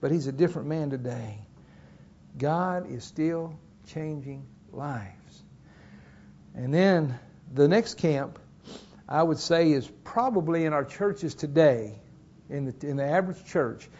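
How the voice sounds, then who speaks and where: High-voiced preacher, folksy. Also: male voice, takes it slowly, darkish tone, dull audio. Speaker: pastor. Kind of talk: sermon. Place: church.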